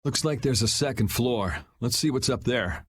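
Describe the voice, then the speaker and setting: Laid-back young game hero, mid-range voice with lively swings, faint ambience. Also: male voice, medium pitch, normal speed, slightly rough, no echo. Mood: cool, curious. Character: cool, independent young male swordsman, wry rebel. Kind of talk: video game voice acting.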